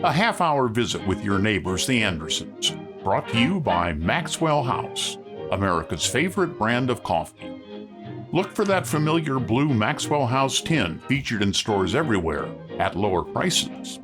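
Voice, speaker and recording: A man's voice: medium pitch, big pitch swings, normal speed. A man doing scripted announcing and narration for an old-time radio show. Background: music.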